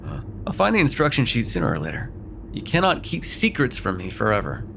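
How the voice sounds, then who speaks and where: A man playing a sarcastic vampire with a soft spot in an ASMR roleplay, talking in a teasing, conversational way with sighs and hesitations. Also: medium pitch, huge pitch swings, a little fast, gravelly, noisy background. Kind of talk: immersive ASMR roleplay acting.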